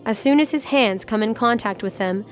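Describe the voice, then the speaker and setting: A woman's voice: a little high, moderate pace, faint music behind. A woman narrating a scripted story for an audio drama.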